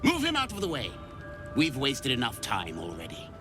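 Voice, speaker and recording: An actor playing a harsh, contemptuous githyanki drill instructor in a video game, speaking imperiously over loud music. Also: male voice, medium pitch, huge pitch swings. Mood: cold contempt. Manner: imperious, scornful, deliberate.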